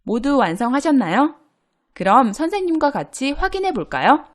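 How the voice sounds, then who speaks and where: Female teacher, grammar lesson, lively pitch, slightly high voice, clear and patient delivery. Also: normal speed. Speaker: Korean language teacher.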